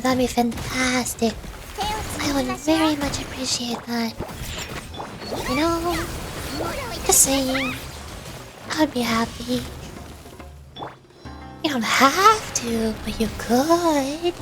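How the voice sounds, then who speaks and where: A woman giving casual, chatty livestream commentary, talking to herself as she plays a video game. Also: high-pitched. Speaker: streamer, virtual YouTuber. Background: game music.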